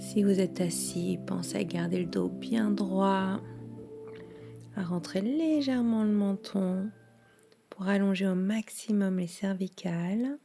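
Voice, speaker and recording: A woman leading a guided yoga nidra relaxation in a soothing, hushed manner with long pauses. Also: medium pitch, vocal fry, music in the background.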